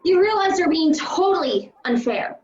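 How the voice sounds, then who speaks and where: An indignant grown daughter played by a woman with a high, swooping voice. She is sullen and reproachful, acting out a dramatic scene. The sound is roomy and a little dull. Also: animated, normal speed, darkish tone. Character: exasperated, indignant adult daughter of retired heroine. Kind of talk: dramatic fan-made audio acting. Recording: roomy, dull home call audio.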